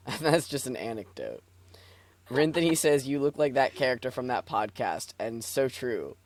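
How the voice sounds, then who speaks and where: Animated man riffing casually on a livestream. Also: medium pitch, measured pace, pauses often. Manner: joking, riffing, profane. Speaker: stream co-host.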